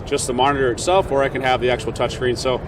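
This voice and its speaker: A man's voice: medium pitch, flat delivery, fast, noisy background. A man giving an informal product demonstration, explaining step by step in a conversational way on a trade show floor. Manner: conversational, checks in with "right?". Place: trade show exhibition hall.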